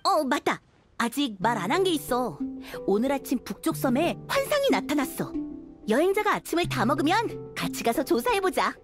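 High, spirited young game heroine. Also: female voice, moderate pace, over music. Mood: friendly. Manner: friendly, expressive. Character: spirited, upbeat young woman rock musician. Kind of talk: video game voice acting.

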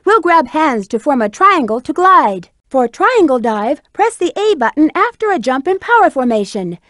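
High-pitched, sassy bat treasure huntress. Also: female voice, very animated, normal speed, a bit bright, dry recording, clean studio sound. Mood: instructive. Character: sassy, flirty female bat treasure hunter. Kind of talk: video game character voice acting.

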